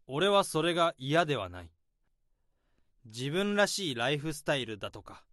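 A man in his thirties narrating a radio drama in the first person, in a slow, subdued, deadpan way. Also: on the high side, no echo, clean studio sound. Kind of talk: radio drama inner monologue.

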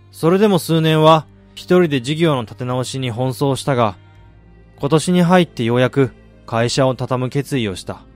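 男性が演じる物思いに沈む中年男の語り. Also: male voice, mid-range voice, natural voice. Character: weary middle-aged failed businessman narrating his regretful past. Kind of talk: radio drama first-person narration. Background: music.

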